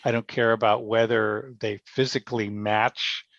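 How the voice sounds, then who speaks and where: Guy with kinda fry in his voice explaining stuff in an interview, rephrases a lot, muffled lo-fi sound. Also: fairly low pitch, little pitch movement, unhurried, fairly bright tone, no echo. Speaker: radio theatre director, podcast guest. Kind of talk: conversational interview answers.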